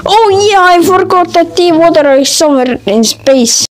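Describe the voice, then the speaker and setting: A woman voicing excitable cartoon object-show characters, host and contestants, in an amateur animated show. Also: very high voice, normal speed, soft background music. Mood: announcing.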